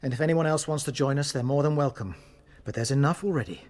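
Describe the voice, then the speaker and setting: A man playing a kindly, resolute young rabbit leader in a radio play, speaking with a British accent in a measured, encouraging way. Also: mid-range voice, darkish tone, lightly acted. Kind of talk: radio drama acting.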